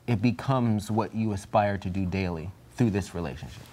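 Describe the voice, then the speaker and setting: A man's voice: sits low, moderate pace, warm tone. A man speaking reflectively and earnestly as a panelist in a Bible study discussion.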